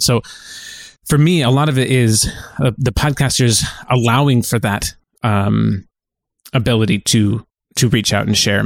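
A man co-hosting a podcast, chatting casually in a halting, self-correcting way. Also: medium pitch, vocal fry, dry recording, clean studio sound. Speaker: podcast co-host.

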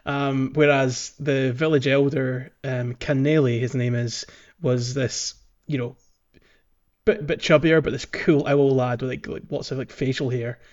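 A man's voice: medium pitch, fairly even intonation, a little slow, darkish tone. Man chatting casually, slightly muffled. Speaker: podcast co-host. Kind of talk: casual chat about a video game.

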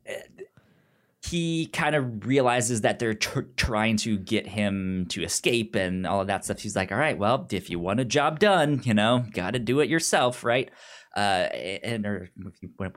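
A podcast host with a noticeable stutter, repeating sounds and syllables while casually retelling a film plot in an animated, acted-out way. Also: mid-range voice, moderate pace.

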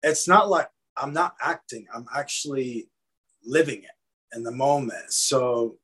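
A man from Lebanon, an actor guest, talking in a casual, animated way, often repeating his words. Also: medium pitch, unhurried, husky. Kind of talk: casual interview chat.

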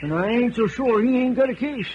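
An actor playing a crotchety, folksy old western sidekick in a radio drama, blunt and grumbling. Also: male voice, very high voice, fairly quick, highs cut off. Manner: folksy drawling cowboy talk, grumbling. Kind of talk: 1950s radio western acting. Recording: old radio recording, faint noise.

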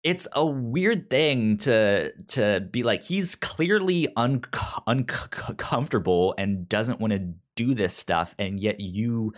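A man's voice: on the low side, expressive, slow, few pauses. A man co-hosting a film review podcast over a video call, talking casually with many stammered restarts. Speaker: podcast co-host. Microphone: remote video call.

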